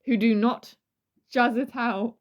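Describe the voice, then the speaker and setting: A woman's voice: a little high, fairly even intonation, slow, highs cut off. A woman co-hosting a true crime podcast, switching between dramatic reading and casual, irreverent banter. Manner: playful, irreverent, swears casually.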